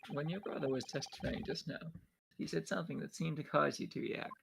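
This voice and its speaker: A man's voice: fairly high pitch, fairly quick, vocal fry, noisy background. A man voicing an inquisitive, formal defense lawyer who probes a witness in a courtroom video game. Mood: probing. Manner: formal, probing.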